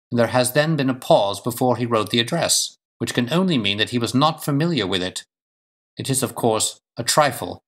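A man playing a brisk, analytical gentleman detective in an audiobook, speaking formally with precise, clipped delivery. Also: mid-range voice, voice pushed up, natural voice. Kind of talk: audiobook character dialogue.

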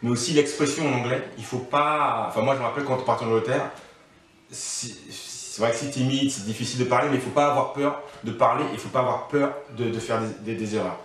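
A man's voice: mid-range voice, fast, a bit bright, slight background noise. A man in his thirties giving casual advice as an interview guest, thoughtful and full of restarts. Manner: thoughtful, many restarts and fillers. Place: living room, on a couch. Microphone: far camera mic. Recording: echoey room, from a distance.

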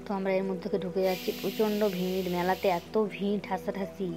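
A young woman chats straight to her viewers, slipping English words into her talk. She records on a phone held at arm's length in a small room at home. Music plays clearly behind her voice. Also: mid-range voice, little pitch movement, fast talker. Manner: chatty, addresses viewers directly, mixes in English words. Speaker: vlogger. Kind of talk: casual vlog narration to camera. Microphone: phone camera held at arm's length.

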